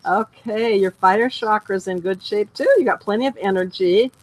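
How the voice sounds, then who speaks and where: A woman's voice: mid-range voice, normal speed, low-quality recording. A woman giving a chakra reading in a casual talk, reassuring and saying okay often. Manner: reassuring, says okay a lot. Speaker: energy healer.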